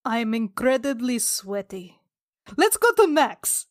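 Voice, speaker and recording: Higher-pitched woman, casual tabletop player. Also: sing-song, takes it slowly, no echo, clean studio sound. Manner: informal, joking. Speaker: player in a tabletop role-playing game. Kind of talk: casual improvised game chat.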